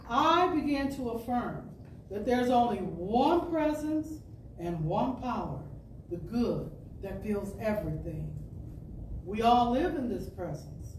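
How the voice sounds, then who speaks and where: Slow, high-voiced woman reading in a roomy space. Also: darkish tone. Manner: deliberate, measured phrasing with emphatic pauses. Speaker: storyteller and speaker at a spiritual center. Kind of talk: expressive reading of an inspirational talk. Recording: distant roomy pickup with background noise.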